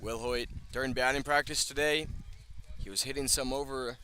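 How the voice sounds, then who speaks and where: Bright-voiced male baseball play-by-play announcer. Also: mid-range voice, fairly even intonation, moderate pace. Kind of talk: live baseball play-by-play commentary. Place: outdoors at a ballpark, field level by the first base line.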